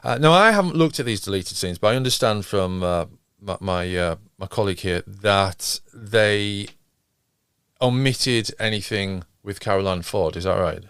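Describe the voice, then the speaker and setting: A man with a fairly low voice chats casually as a podcast co-host. His phrasing is wry and a little hesitant, and the sound is dry, with no room echo. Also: normal speed, a bit bright, smooth. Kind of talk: casual chat.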